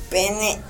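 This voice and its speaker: A woman playing a bashful, hesitant young pupil in a radio drama. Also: fairly high pitch.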